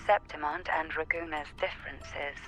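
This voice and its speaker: A woman's voice: deep voice, fairly even intonation, normal speed, fairly bright tone, soft background music, low-quality recording. A woman playing a refined, knowing noblewoman in a video game, talking formally and teasingly through a call filter. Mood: measured. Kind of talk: video game character acting.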